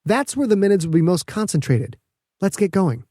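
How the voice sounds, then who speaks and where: An eager, confident young woman inventor in an audiobook, voiced by a male narrator. The pitch is lifted and the sound dry, with a playful edge. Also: very animated, moderate pace, mellow, no echo. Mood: brisk. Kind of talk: audiobook character dialogue.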